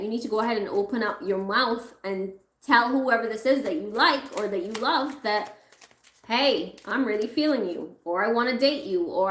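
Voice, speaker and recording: A woman reading tarot cards for her viewers in a chatty, reassuring way. She is losing her voice and keeps clearing her throat. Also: on the high side, roomy sound. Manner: chatty, reassuring, thinks aloud while pulling cards. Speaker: tarot reader.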